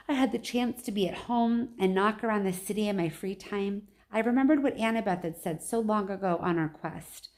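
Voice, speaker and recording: A woman's voice: mid-range voice, a little mellow. A woman reading a children's novel aloud at home like a teacher, with informal asides to her listeners.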